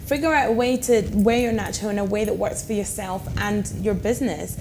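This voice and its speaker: A woman's voice: medium pitch, fairly even intonation, fairly quick, a little mellow, slight background noise. A woman from Ghana working as a broadcast journalist, talking as a guest on a chat show in an emphatic, encouraging way. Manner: emphatic, encouraging, many small agreeing noises. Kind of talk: casual studio chat.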